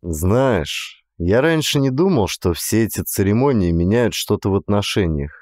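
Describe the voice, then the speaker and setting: A man's voice: on the low side, lively intonation, normal speed, a little mellow, no room sound, studio quality. Man playing a wry, understated male lover. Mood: earnest. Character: male first-person hero, wry, calm, affectionate lover. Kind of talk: audiobook character dialogue.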